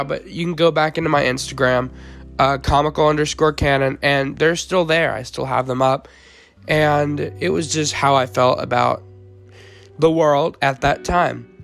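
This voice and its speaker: A teenage boy, hoarse from the flu, hosting a faith podcast in an earnest tone with frequent ums and uhs. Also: medium pitch, fairly bright tone. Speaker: podcast host.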